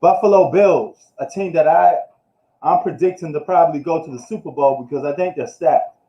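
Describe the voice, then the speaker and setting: A man's voice: fairly high pitch, moderate pace. Slangy man ranting in an echoey room. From New York. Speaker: sports streamer. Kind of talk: casual live sports recap. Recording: echoey room, dull lo-fi live stream.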